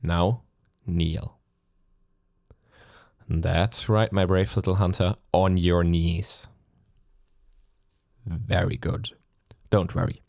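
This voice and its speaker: Guy doing a low gravelly ancient vampire, sadistic and courtly, taunting, intimate asmr roleplay. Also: little pitch movement, extremely slow, pauses often, a little mellow, no echo, studio quality, full character voice. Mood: possessive, commanding. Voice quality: low, creaky. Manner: theatrical, taunting, courtly endearments, commanding. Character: centuries-old male vampire lord, sadistic, silkily menacing, possessive. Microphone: close studio mic, dry.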